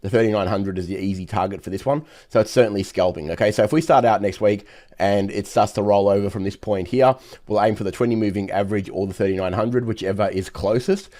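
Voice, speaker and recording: A hoarse man who has nearly lost his voice, giving brisk trading chart commentary with many fillers. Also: fairly low pitch, fairly even intonation, warm tone. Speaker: market analyst host.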